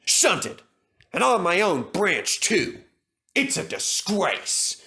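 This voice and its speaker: Man voicing a huffy, bright little tank engine. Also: high voice, sing-song, slow, creaky, voice pushed up, exaggerated voice. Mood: fuming. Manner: indignant, grumbling.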